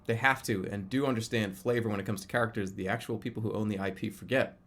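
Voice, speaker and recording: A man's voice: fairly low pitch, steady tone, fast, a bit bright. A man in his thirties chatting casually as a live streamer, rambling and often restarting or repeating his phrases. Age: 30s. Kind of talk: casual livestream chat commentary.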